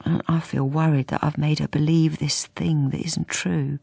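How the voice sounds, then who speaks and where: A lonely, invisible middle-aged wife who narrates her own radio drama. Her voice is low and creaky, dropping at times to a breathy near-whisper. She confides hesitantly, wistful and understated. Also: flat delivery, normal speed, dark tone, no room sound, heavily acted. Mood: wistful wonder.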